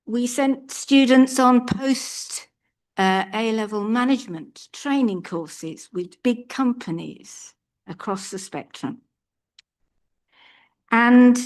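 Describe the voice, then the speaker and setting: An older woman giving an earnest, measured statement at a council meeting. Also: mid-range voice. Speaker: public speaker at a council meeting. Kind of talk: prepared public statement. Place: council chamber.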